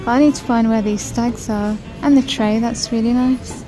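A woman's voice: on the high side, fairly even intonation, normal speed, over music, poor audio. A woman vlogging a walk through a supermarket on her phone, chatting and gushing over items as she reads out prices. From United Kingdom. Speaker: shopping vlogger. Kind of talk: shop-with-me walkthrough narration. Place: large supermarket, indoors.

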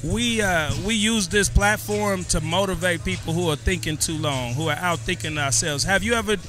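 A man interviewing guests at a barber event, excited and motivational in tone. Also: sits high, moderate pace, faint music behind. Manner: enthusiastic, motivational. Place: event backdrop at a barber competition. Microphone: handheld mic.

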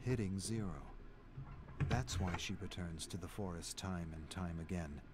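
A man playing a brooding narrator of dark game lore, reading with measured, deliberate drama. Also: low voice, lightly acted. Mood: brooding, ominous. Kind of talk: dramatic scripted story narration.

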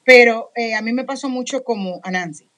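A chatty, lively woman with a Puerto Rican accent, speaking a bit high, leading a women's panel over a video call. She is middle-aged and talks a lot, teasing herself as she goes, into a studio microphone with headphones on. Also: a little fast. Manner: talkative, animated, self-deprecating. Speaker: radio program director and moderator. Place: home room with headphones and studio mic.

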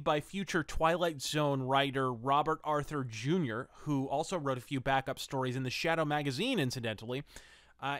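A man's voice: on the high side, fairly bright tone, no echo, studio quality. A man hosting a review podcast, with theatrical, wordy and wry semi-scripted commentary.

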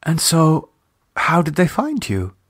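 A man's voice: fairly high pitch, huge pitch swings, slow pace, fairly bright tone, dry recording, studio quality, voice pushed up, heavily acted. A man voicing a worried, caring woman in an audiobook, questioning earnestly with some reproach. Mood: concerned. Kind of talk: audiobook character dialogue.

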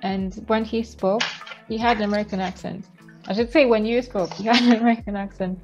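A woman's voice: medium pitch, steady tone, fairly quick, darkish tone, lo-fi sound, soft background music. A young woman from Kenya hosting her vlog, reminiscing in a chatty way with frequent restarts, talking over a headset on a video call at home. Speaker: vlogger host. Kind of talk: casual video call chat. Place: home room with shelves, indoors.

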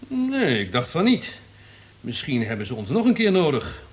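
An actor in a Dutch radio play playing a smug, calculating, cynical radio network executive. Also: male voice, a little high, huge pitch swings, normal speed. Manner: self-satisfied, calculating.

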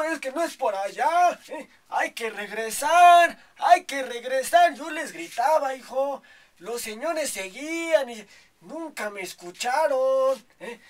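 A man's voice: extremely high pitch, fairly bright tone, pitched above the performer's own voice, heavily acted. A man playing a boastful, preachy old Mexican grandfather who rambles and keeps adding "hijo, ¿eh?", in a comedy sketch. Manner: rambling, tags nearly every phrase with "hijo, ¿eh?".

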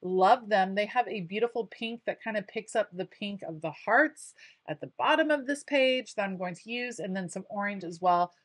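A woman's voice: medium pitch, normal speed, no echo. A woman talking casually over her craft footage, chatty and enthusiastic with frequent ums. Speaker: craft video creator.

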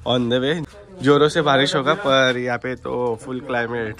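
A young man, a travel vlogger, chatting excitedly to his phone camera as he shows a temple and a dam. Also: medium pitch, measured pace. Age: young adult. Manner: excited, chatty tour-guide talk mixing Hindi and English. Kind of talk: casual travel vlog narration. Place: outdoors at a temple and a dam, and inside a temple hall. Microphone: phone held at arm's length. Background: background music.

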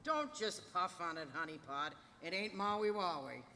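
A brash, sardonic old android lady played by a woman, sharp-tongued and crabby. It is a live table read, with clear noise and hall reverb around the voice. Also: lowish voice, normal speed, close to an everyday voice. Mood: crabby, mocking. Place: large convention hall stage. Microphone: table microphone. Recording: noisy echoey convention hall.

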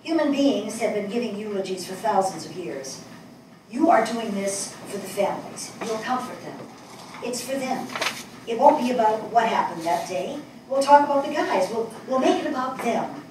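A gentle, encouraging older woman writer, acted on stage by a woman, speaks in a fairly high voice, reassuring and coaxing. She is heard from a distance in a very echoey theatre. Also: lively intonation, normal speed, lightly acted. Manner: reassuring, prompting with questions. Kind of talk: dramatic stage reading of a play. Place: small theatre stage before a seated audience. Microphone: distant camera microphone. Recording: very echoey hall, distant microphone, faint noise.

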